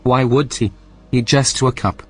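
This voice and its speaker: Male AI station assistant, deadpan, formal, mid-pitched, literal, unflappable, sci-fi audio drama, music underneath. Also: unhurried, slightly rough. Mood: deadpan, matter-of-fact. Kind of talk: science-fiction audio drama acting.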